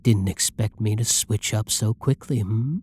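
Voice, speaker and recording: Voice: mid-range voice, a little slow, a little mellow, slight background noise, lightly acted. An actor voicing a flirty, possessive villain who menaces and teases a hostage in an ASMR roleplay, domineering and often dropping into whispers. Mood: flirty, smug. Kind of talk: roleplay ASMR audio drama monologue.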